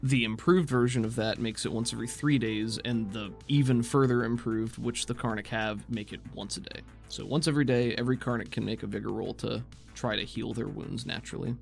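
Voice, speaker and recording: Creaky-voiced game master over faint music. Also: mid-range voice, fairly even intonation, fairly quick, hardly any pauses. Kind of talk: narrating and explaining a tabletop game.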